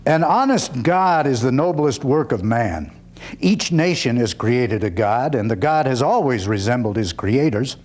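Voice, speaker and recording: A male actor performs a 19th-century orator and proud veteran in a one-man play. The man's voice sits at a medium pitch and swings widely for emphasis. He declaims in deliberate, measured phrases, with dry irony and a freethinker's conviction. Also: expressive, darkish tone. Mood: declamatory, scornful. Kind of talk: dramatic reading, theatrical oratory.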